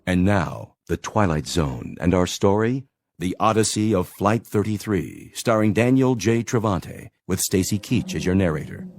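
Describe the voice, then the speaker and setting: An actor as a dramatic announcer introducing a radio drama and its cast. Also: male voice, sits low, expressive, moderate pace.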